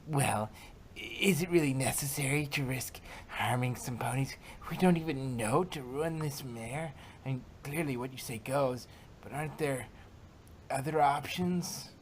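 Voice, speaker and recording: A man's voice: mid-range voice, measured pace, slight background noise, lightly acted. A man voicing a nervous, deferential male pony assistant, formal and uncertain, in a fan fiction reading. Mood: uneasy, pleading.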